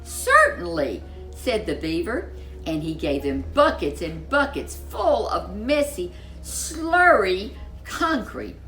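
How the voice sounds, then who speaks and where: Slow, animated woman storyteller. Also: medium pitch, soft background music, rough recording. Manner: dramatic, drawn-out emphasis on key words. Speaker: storyteller, host. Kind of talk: expressive children's storybook narration.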